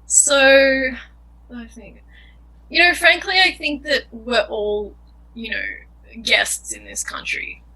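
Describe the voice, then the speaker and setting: A woman's voice: high-pitched, slow pace, pushed and bright, slightly rough, poor audio. A young woman filmmaker answering interview questions in an earnest, thoughtful way, often searching for words. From Australia.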